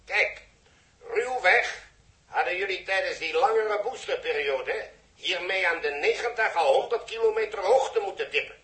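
A man's voice: high-pitched, expressive, moderate pace, pushed and bright. A man acting a formal, unyielding ground controller on a radio link in a 1960s Dutch radio drama. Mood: brisk, businesslike. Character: officious male mission controller, brisk and unyielding. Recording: echoey old radio drama recording.

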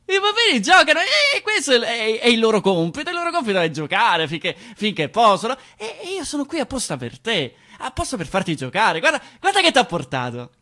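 A man's voice: extremely high pitch, wildly swooping pitch, brisk, hardly any pauses, sharp tone, full character voice. Wildly swooping, stammering, overbearing father. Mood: coaxing. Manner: stammering, repetitive, insistent, swinging between coaxing and scolding. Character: overbearing, agitated father ushering his little daughter about. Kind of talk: theatrical radio play dialogue.

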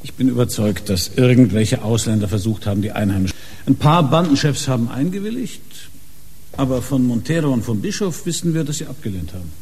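An actor playing a dogged, impatient police inspector in a radio crime drama, probing and suspicious, with a husky, muted voice. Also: male voice, medium pitch, animated, brisk, a little mellow. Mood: musing, suspicious. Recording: reverberant hall-like radio drama scene.

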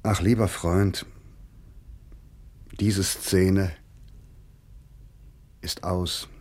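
Resigned, bitter writer in a radio feature: lowish voice, measured, earnest, literary. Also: male voice, steady tone, a little mellow, heavily acted. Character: weary, lonely male man of letters, bitter, resigned. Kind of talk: dramatised letter reading for radio.

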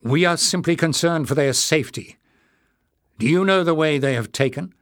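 A man's voice: fairly high pitch, normal speed, a bit bright, dry recording, studio quality, voice pushed up, natural voice. A man voicing an earnest, anxious young king with a controlled manner in an audiobook. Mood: cool restraint. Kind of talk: acted dialogue in audiobook narration.

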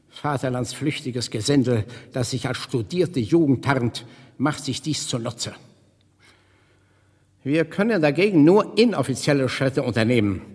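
An actor playing a stern, haughty Russian legation official who speaks in pompous, clipped officialese in a German radio play. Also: male voice, medium pitch, husky. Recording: reverberant hall sound.

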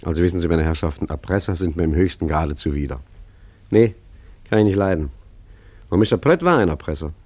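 An actor playing an urbane, roguish swindler who narrates and acts in a radio play, chatty and soothingly condescending, with a husky voice. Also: male voice, low-pitched, animated, brisk, long pauses, darkish tone, no echo. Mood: wry, confiding. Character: urbane, roguish art forger and scheming raconteur. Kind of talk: radio play acting with first-person narration.

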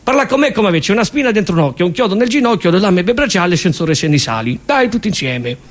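Roman man, stage comic, nonsense chants, high lively voice. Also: fast talker. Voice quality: absurd, rhythmic nonsense chanting.

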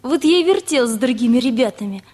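Lovestruck teenage girl for a radio play, high and slightly breathy, eager and artless. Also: expressive, fast talker, a little mellow. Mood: teasing, candid. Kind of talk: Russian radio play acting.

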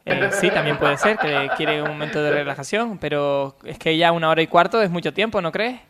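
A man's voice: a little high, brisk, loud music behind the voice. A man with an accent from Spain hosting a comedy call-in radio show. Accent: Spanish (Spain). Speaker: radio host.

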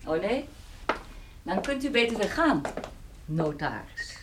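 An actress playing a proud, stubborn country landowner in a radio play, curt, guarded and dry. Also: female voice, mid-range voice, big pitch swings, slow pace, background noise.

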